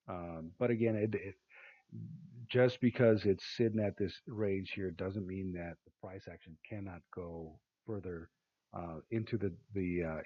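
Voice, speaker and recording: A man giving casual chart analysis with a raspy, tired voice, rambling with many fillers and restarts. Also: low-pitched. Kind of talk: casual screen-share market analysis. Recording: tinny phone-like sound.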